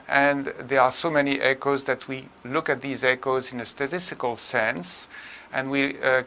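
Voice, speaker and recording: An older man giving a tutorial lecture in a measured, halting way with frequent pauses. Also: mid-range voice, sharp tone, clear, resonant tone. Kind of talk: tutorial lecture on room acoustics.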